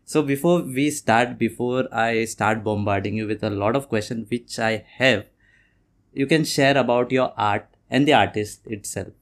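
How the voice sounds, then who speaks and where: A man hosting a podcast, welcoming his guest enthusiastically and filling pauses with short acknowledgements. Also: mid-range voice. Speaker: podcast host. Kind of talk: podcast interview.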